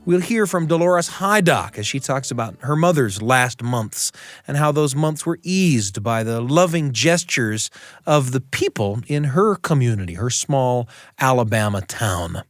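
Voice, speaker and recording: A man's voice: mid-range voice, expressive, unhurried, no room sound, studio quality, soft background music. An American man hosting a radio show, reading scripted narration.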